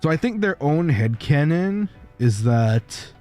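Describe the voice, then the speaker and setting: Guy streamer rambling and laughing a lot, like like like, soft music playing behind. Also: a little high, sing-song, slow pace. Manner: rambling, lots of fillers and laughter. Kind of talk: casual livestream chat. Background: faint background music.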